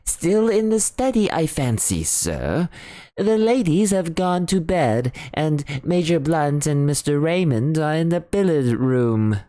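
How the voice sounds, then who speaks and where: A man voicing a deferential, anxious male butler in a high, fluttery, shocked voice for an audiobook reading. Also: big pitch swings, takes it slowly, warm tone. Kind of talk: audiobook character voice.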